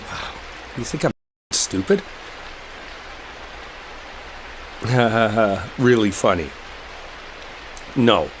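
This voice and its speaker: A man's voice: mid-range voice, slight background noise, poor audio. A man playing an exasperated, sarcastic, stubborn guy in a dramatic roleplay audio, talking impatiently with rain behind him. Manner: impatient, sardonic, conversational, with mild swearing. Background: rain storm ambience.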